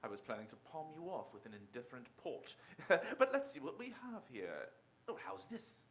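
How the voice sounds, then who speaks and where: An actor playing a suave, calculating former tennis star in a radio drama, with an affable, glib, urbane manner. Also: male voice, mid-range voice, huge pitch swings, moderate pace, fairly bright tone. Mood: hearty, genial. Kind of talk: stage radio-drama acting.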